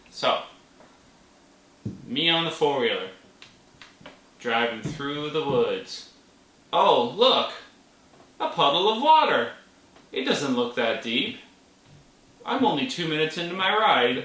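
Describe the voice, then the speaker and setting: A person telling a story in a casual vlog manner, animated, with playful exclamations. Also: medium pitch. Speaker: vlogger. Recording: roomy, distant mic with faint noise.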